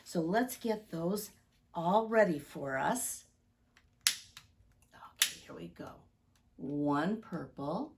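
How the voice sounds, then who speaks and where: Woman, children's story-time teacher, very slow pace, lively rise and fall. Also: lowish voice, vocal fry, slight background noise. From United States, Midwest. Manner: deliberate, expressive, addressing young children. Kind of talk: storybook reading and chat for young children.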